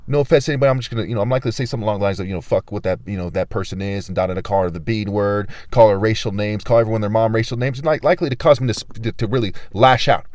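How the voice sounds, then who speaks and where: A man's voice: racing, few pauses, no room sound. Ranting man with a dry close sound. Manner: ranting, swearing, swings between low murmur and loud outbursts. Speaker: vlogger. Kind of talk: angry rambling monologue.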